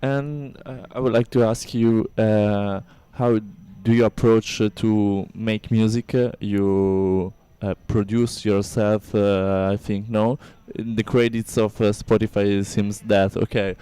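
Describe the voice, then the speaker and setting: Man, low mellow voice, very clear, hesitant. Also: steady tone. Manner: hesitant, many "uh" pauses. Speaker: radio interviewer.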